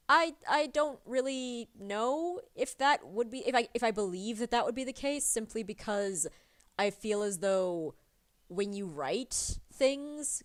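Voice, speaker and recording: A woman streaming her drawing session, chatting casually and rambling with many fillers, a little sniffly from a cold. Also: lowish voice. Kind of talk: casual chat while drawing.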